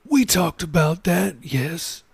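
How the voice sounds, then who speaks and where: A man doing a gravelly older pastor. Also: on the high side, lively intonation, no room sound, pitched above the performer's own voice, exaggerated voice. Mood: counseling. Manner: gentle, measured, advising. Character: older male pastor, kindly counselor.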